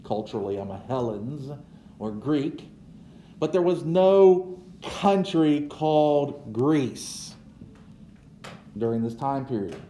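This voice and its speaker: A man giving an unhurried history lecture as a teacher, checking in with "right?" as he goes. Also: medium pitch, lively intonation, dark tone, echoey room, a little hiss or hum. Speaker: social studies teacher.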